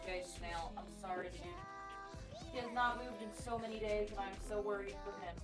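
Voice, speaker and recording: A woman's voice: medium pitch, steady tone, brisk, music in the background. A young adult woman chatting casually and informally, swearing freely. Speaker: companion on a friend's livestream. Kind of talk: casual chat.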